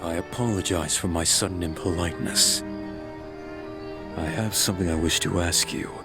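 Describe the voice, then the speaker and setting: A man's voice: low-pitched, normal speed, loud music behind the voice. A man voicing a cool, courteous and formidable crown prince in an anime fan dub, formal and composed.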